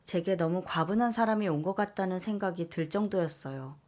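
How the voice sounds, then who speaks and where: A woman narrating a listener's letter in first person, soft and confiding. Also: on the low side, fairly quick. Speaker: story reader.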